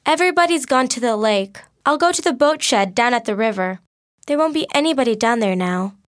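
A woman reading a storybook, voicing an outspoken, fiery teenage girl at summer camp with quick, emphatic lines. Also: fairly high pitch, expressive, pitched above the performer's own voice, natural voice. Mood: brooding.

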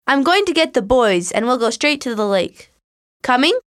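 A woman's voice: high voice, sing-song, normal speed, no room sound, clean studio sound, voice pushed up. A woman reading a storybook, voicing a friendly, upbeat teenage girl with a breezy, inviting manner.

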